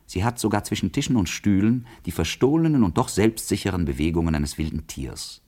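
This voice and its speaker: A man's voice: deep voice, expressive, fairly quick, warm tone, husky. An actor playing a brooding, observant man in a literary radio drama, solemn and poetic. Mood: intense.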